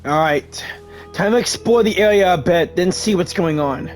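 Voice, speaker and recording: High-pitched man commenting casually on a game. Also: normal speed, faint music behind. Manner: excitable, informal slang. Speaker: gamer streaming a playthrough.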